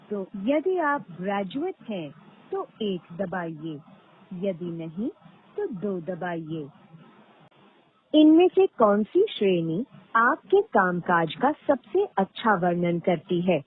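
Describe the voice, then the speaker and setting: Husky woman on a tinny phone line. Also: medium pitch. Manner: formal, measured instructions with deliberate pauses. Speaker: automated phone line announcer. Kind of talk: scripted IVR phone prompts. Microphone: recorded phone call. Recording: tinny band-limited phone line recording.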